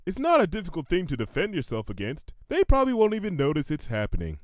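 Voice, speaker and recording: A man's voice: fairly high pitch, huge pitch swings, fast talker, slight background noise, voice pushed up. A man voicing a scheming female unicorn in a story, breezy and matter-of-fact. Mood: nonchalant. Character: scheming, nonchalant female unicorn conspiracy zealot.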